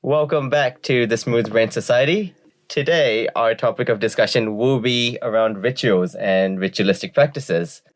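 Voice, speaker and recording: A man hosting a podcast, reading a disclaimer and introducing his guest in a friendly, slightly halting way. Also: mid-range voice. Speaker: podcast host.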